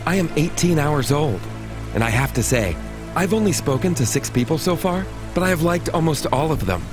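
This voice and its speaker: An actor plays a cheerful newborn service robot in a video game, a male voice on the high side. It swings brightly in pitch while staying polite, literal and innocent. Music is clear in the background. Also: expressive, normal speed, lightly acted.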